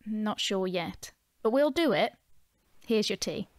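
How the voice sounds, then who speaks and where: An actress playing a caring, steady female friend in an audio drama, soothing and practical. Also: medium pitch, slow pace, dry recording, clean studio sound. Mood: reassuring.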